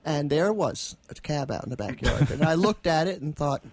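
A woman's voice: low voice, animated, normal speed, a little mellow, no echo. Dry-sounding woman radio co-host. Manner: chuckles often while telling anecdotes. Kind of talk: casual chat and literary reading.

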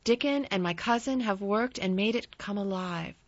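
A woman's voice: mid-range voice, normal speed, no echo, highs cut off, lightly acted. A woman voicing a lordly, decisive young boy in a storybook reading. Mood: commanding.

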